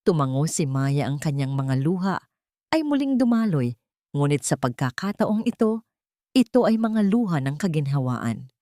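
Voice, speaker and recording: A woman with a Filipino accent narrating a dramatic audio story in a measured, emotive way. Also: deep voice, very animated, dark tone, no room sound, studio quality.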